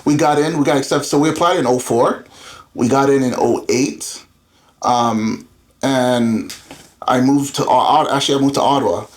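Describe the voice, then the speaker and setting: A man's voice: medium pitch, normal speed. A man in his thirties from Florida talks as a podcast guest. He tells his story candidly, rambling and padding it with fillers. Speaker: guest entrepreneur. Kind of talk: casual interview chat.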